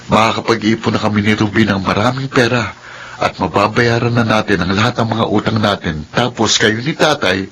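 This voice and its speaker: Actor doing an upbeat caring young guy in a radio drama, bright voice, slight noise. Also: fairly low pitch, fairly even intonation, a little fast, natural voice. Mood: hopeful, caring. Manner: earnest, encouraging. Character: devoted, upbeat young man, protective and hopeful.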